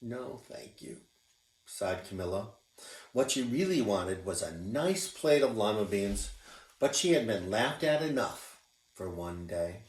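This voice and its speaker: A man reading a picture book aloud for children. He reads slowly with a wide, lively swing in pitch. The voice echoes in a roomy space, captured from a distance. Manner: expressive, showing pictures as he reads. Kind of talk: children's read-aloud narration.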